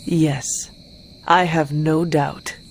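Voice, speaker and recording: An actress playing a loyal, steadfast warrior, speaking in a calm, earnest and formal way for a video game cutscene. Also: female voice, sits low, drawn-out words, slight background noise, full character voice. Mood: calm, supportive.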